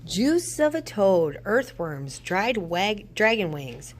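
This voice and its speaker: A woman voicing hungry Halloween monsters from a storybook, in a menacing, drawn-out way. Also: medium pitch, very animated, pitched above the performer's own voice. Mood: greedy. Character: hungry Halloween monsters: bat, goblin, mummy, werewolf, vampire.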